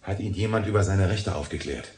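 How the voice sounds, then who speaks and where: An actor playing a world-weary middle-aged police detective in a radio crime drama, terse and somber. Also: male voice, sits low, fairly quick, darkish tone, husky. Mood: grim, terse. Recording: noisy ambience, vehicle sounds.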